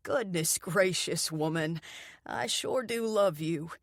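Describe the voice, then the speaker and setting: A woman voicing an affable older husband who talks in a slow, easygoing drawl. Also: on the low side, no echo, studio quality. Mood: affectionate.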